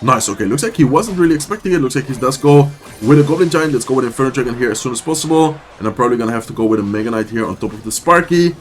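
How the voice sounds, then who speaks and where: A man with a sore throat giving fast, casual play-by-play commentary over his own gameplay. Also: mid-range voice, lively intonation, faint music behind. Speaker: gaming YouTuber.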